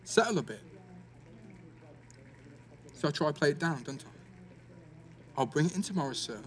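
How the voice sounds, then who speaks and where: Defensive teenage lad, higher-pitched. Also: male voice, big pitch swings, normal speed, slight background noise. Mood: aggrieved venting. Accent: English, East Midlands. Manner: blunt, slangy, full of tag questions. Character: defensive, fuming, sweary teenage schoolboy in care. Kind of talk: naturalistic audio drama dialogue.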